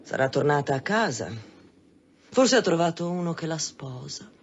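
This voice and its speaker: An actress playing a curt, defiant brothel madam in an Italian radio drama, terse and evasive. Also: female voice, deep voice, animated, normal speed. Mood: guarded, defiant. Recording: dull sound with faint background noise.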